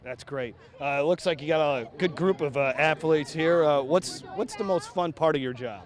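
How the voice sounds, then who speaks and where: A man interviewing people on site for a local broadcast outdoors at a youth track meet, upbeat and quick with follow-up questions. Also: fairly high pitch. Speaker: sports event interviewer.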